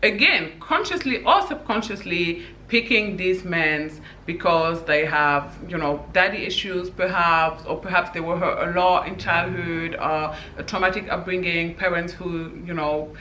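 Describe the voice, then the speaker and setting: A woman's voice: on the low side, a little slow, hardly any pauses, sharp tone, echoey room, slight background noise, low-quality recording. A woman from Senegal giving her opinions in a casual vlog, talking emphatically with many "you know"s. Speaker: vlogger.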